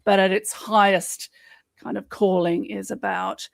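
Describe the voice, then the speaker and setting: Woman, Australian accent, gravelly, dry close sound, self-deprecating, halting. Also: mid-range voice, little pitch movement, no echo. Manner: chatty, self-deprecating, hesitant with uhs. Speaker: podcast co-host guest. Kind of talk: casual chat on a live stream.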